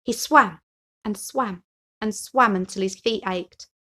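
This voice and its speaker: A woman reading a children's picture book aloud as an expressive storyteller. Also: medium pitch, slow pace. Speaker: story reader.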